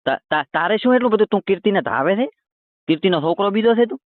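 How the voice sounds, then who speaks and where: A man's voice: high voice, expressive, fast, no room sound. A man in a heated phone argument, talking in a colloquial, stubborn and confrontational way. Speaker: roast video creator taking a call. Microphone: phone call. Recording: tinny phone-line call recording.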